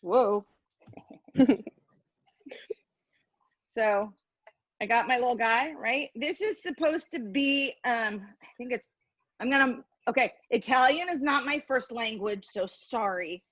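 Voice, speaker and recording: A woman's voice: fairly high pitch, slow pace, long pauses, a bit bright, no echo, highs cut off. A woman giving a casual hands-on cooking demonstration, chatty, playful and self-deprecating as she explains each step. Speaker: cooking instructor.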